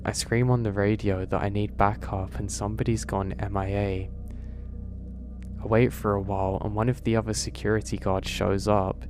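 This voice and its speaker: A man with a fairly low voice reads a creepy first-person horror story in a steady, even way. Clear music plays behind him throughout. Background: background music.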